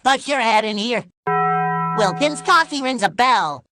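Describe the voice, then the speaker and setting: An actor voicing a cheerful, pushy puppet coffee pitchman in a comedic ad sketch, chipper and deadpan about mayhem. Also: unhurried, over music, lightly acted. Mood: chipper menace.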